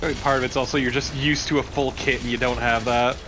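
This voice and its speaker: A man's voice: medium pitch, level tone, fast, background noise. A man co-commentating on a video game in casual, freely profane chat. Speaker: let's play co-commentator.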